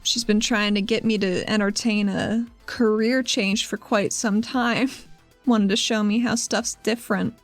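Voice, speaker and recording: A woman's voice: mid-range voice, fairly even intonation, measured pace, dry recording. A woman playing a tabletop role-playing game, talking casually and partly in character. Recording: faint music under a dry voice. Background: soft background music.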